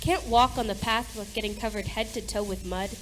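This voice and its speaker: A woman's voice: fairly high pitch, steady tone, a little fast, slight background noise, lightly acted, poor audio. A woman playing a proper, fretful mother and hostess in an amateur radio play, with genteel theatrical delivery. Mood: grumbling.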